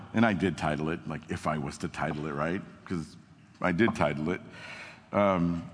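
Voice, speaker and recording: A man's voice: low-pitched, fairly bright tone. A young man, a youth pastor, giving a casual sermon and reading scripture aloud into an amplified microphone in a church. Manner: casual and self-deprecating, reads scripture with rising emphasis. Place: church hall with amplified sound. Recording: long hall reverb.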